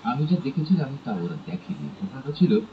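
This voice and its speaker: A man reading translated scripture as scripted narration, in a measured, solemn way. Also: mid-range voice, sing-song, over music. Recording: dull broadcast playback amid background voices.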